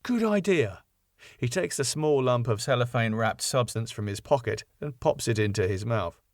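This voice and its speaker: A male narrator with a medium-pitched voice reads scene headings and stage directions. The delivery is scripted narration, recorded close and dry. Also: moderate pace, no echo. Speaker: narrator and writer reading his script.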